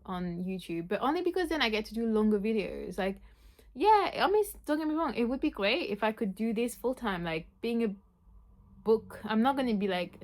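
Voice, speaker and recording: A young woman whose first language is French, with an accent that mixes French and Yorkshire, chatting excitedly in a book vlog and saying "like" often. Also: mid-range voice. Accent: French mixed with Yorkshire. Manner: chatty, says "like" a lot, excitable. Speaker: book vlogger.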